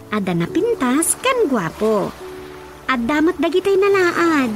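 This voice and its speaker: A woman playing a chatty, teasing younger woman in an Ilocano radio drama comedy, with an animated delivery and an Ilocano accent. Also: high-pitched, measured pace. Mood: playful, chatty. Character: chatty teasing woman, Rosa, rushing off to her mother. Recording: music bed under the voice.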